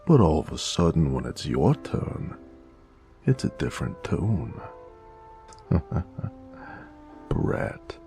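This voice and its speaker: A man's voice: very animated, slow, long pauses, dark tone, faint music behind, heavily acted. A man playing a weary, teasing, affectionate noir mobster in an intimate romance audio roleplay, murmuring and sighing in old-time gangster slang. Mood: amused teasing. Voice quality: very deep, gravelly, breathy murmurs.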